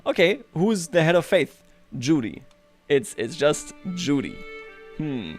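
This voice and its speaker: Higher-pitched man streaming games, music behind. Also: big pitch swings, slow. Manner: chatty, thinking aloud, wry and playful. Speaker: livestream host. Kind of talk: casual live commentary over a strategy game.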